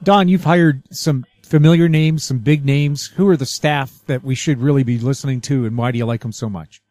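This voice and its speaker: A man's voice: mid-range voice, steady tone, moderate pace, darkish tone, dry recording. Male radio interviewer, dry sound. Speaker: radio show host, interviewer. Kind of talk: broadcast interview and sign-off.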